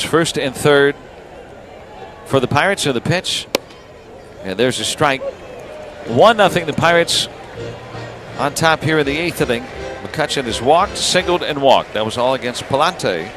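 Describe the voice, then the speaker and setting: American baseball play-by-play man, higher-pitched voice. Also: lively intonation, music in the background. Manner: clipped phrases with pauses between pitches. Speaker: radio baseball play-by-play announcer. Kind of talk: live play-by-play commentary.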